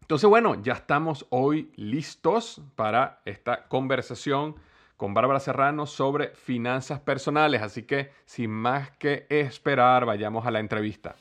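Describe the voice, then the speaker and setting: Man, podcast host, eager friendly delivery, frequent fillers, mid-pitched voice. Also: a little slow, fairly bright tone. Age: young adult to middle-aged, has a son. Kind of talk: podcast intro and interview.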